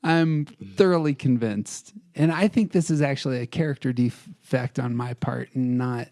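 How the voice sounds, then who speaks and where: A man's voice: mid-range voice, moderate pace, a little mellow, no room sound, studio quality. A man co-hosting a podcast in casual debate, bantering in a self-deprecating way. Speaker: podcast co-host.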